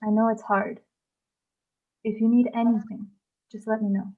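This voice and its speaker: A woman playing a kind, tactful stable worker in a screenplay table read, speaking gently and carefully. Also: fairly high pitch, little pitch movement, moderate pace, close to an everyday voice. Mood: sympathetic. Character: kind, tactful woman working at an equestrian center. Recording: dull, lo-fi sound.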